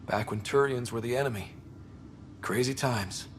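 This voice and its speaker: An actor playing an easygoing young explorer son in a video game, talking in a casual, wry way. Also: male voice, mid-range voice, moderate pace, slight background noise, close to an everyday voice. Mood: curious, nostalgic. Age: young adult.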